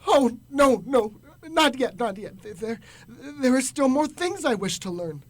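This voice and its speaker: A man's voice: very high voice, big pitch swings, measured pace, heavily acted. A man playing a frightened old miser in a radio drama, desperate and imploring. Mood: distraught.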